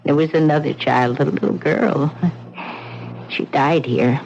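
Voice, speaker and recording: An actress playing an ominous older widow who warns of death with grim insistence, on a muffled old radio recording with faint hiss. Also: female voice, low voice, unhurried, creaky, a little mellow. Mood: foreboding.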